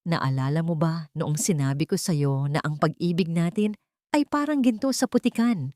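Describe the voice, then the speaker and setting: A woman's voice: sits low, moderate pace, a little mellow, no echo, clean studio sound, natural voice. A woman narrator voicing an affectionate young husband and new father in a romance story, tender and playful.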